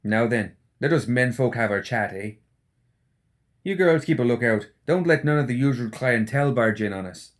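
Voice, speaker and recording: A man voicing a cocky, teasing gentleman rogue necromancer in an audiobook, with folksy, slangy, mocking talk. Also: mid-range voice, animated, moderate pace, voice pushed up, natural voice. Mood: jovial. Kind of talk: audiobook character acting.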